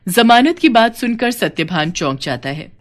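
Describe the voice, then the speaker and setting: A woman reading a scripted moral story as narrator, in an expressive storytelling manner. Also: a little high, moderate pace.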